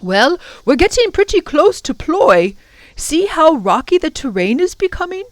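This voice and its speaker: A woman's voice: high voice, sing-song, a little slow, no echo, pitched above the performer's own voice. A woman voicing a kindly, fussy older male professor in a storybook, explaining things with donnish exclamations. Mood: cautionary.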